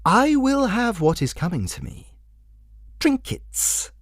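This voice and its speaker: A man voicing a menacing supernatural jester in a dramatic fantasy story, speaking with slow, theatrical menace. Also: sits high, wildly swooping pitch, dry recording, clean studio sound, voice pushed up, full character voice. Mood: cold, demanding. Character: menacing male jester, a sinister supernatural clown.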